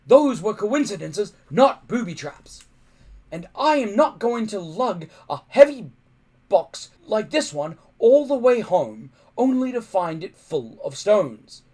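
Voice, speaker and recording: A man voicing a bad-tempered, domineering Viking chief in a storybook, loud, bellowing and blunt. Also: sits high, very animated, slow, voice pushed up, full character voice. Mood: angry, impatient.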